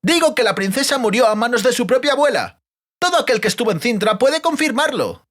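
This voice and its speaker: A man voicing a heated young apprentice in a fantasy audiobook, loud and insistent. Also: very high voice, very animated, brisk, pitched above the performer's own voice, heavily acted. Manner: loud, emphatic.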